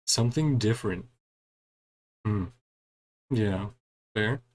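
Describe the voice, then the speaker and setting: A man's voice: on the low side, slow pace, a little mellow. A man streaming a game in a casual, chatty way, reading dialogue aloud and murmuring short reactions between the lines. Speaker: gaming streamer playing through a story quest.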